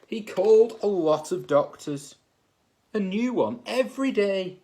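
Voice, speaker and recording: A man reading a children's story aloud as a teacher would, in expressive narration. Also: high-pitched, measured pace. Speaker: assistant head teacher reading a bedtime story.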